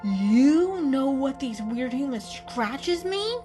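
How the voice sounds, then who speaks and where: A woman's voice: high-pitched, big pitch swings, slow pace, warm tone, faint music behind, pitched above the performer's own voice, full character voice. A woman voicing a playful, brash male cat in a storybook reading, emphatic and exclaiming. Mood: surprised, brash.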